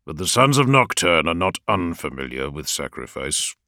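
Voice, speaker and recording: Man voicing a solemn demigod lord, very low and quiet, measured and grave, dry recording. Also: sing-song, no echo, heavily acted.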